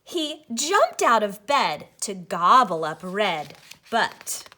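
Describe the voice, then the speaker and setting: A woman teacher reading a children's storybook aloud in an expressive narrating style. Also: medium pitch, takes it slowly.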